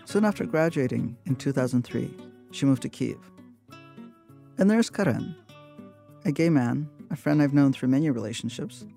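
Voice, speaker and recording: Narrator from russia, gravelly, mellow tone, measured storytelling, faint guitar music behind. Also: male voice, mid-range voice, expressive. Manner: measured, matter-of-fact storytelling. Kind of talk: scripted radio narration.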